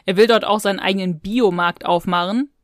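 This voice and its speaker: Woman chatting on a podcast, normal pitch, dry recording no room. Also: steady tone, a little fast, dry recording. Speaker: podcast co-host. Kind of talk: casual podcast discussion.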